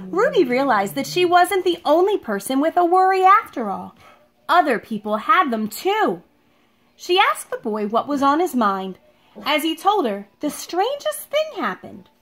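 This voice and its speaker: A woman teacher speaking to young children in an expressive, deliberate read-aloud style, recorded at home in a living room. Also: sits high. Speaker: elementary school teacher reading a picture book aloud.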